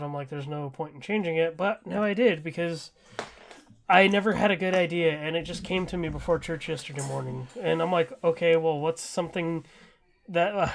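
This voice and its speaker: Male podcast co-host, higher voice with heavy fry, casual, rambling and enthusiastic. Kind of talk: casual chat.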